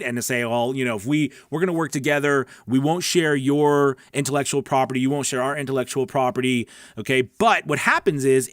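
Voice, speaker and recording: A man's voice: medium pitch, no room sound, studio quality. A man hosting a live question-and-answer stream as a coach, talking casually and emphatically, repeating words and checking in with his listeners. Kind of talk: casual instructional livestream chat, answering viewer questions.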